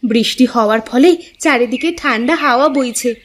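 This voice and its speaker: A woman playing a practical, gently nagging farmer's wife in a village folk-tale cartoon dub. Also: fairly high pitch, fairly quick, close to an everyday voice. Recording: music behind the voice.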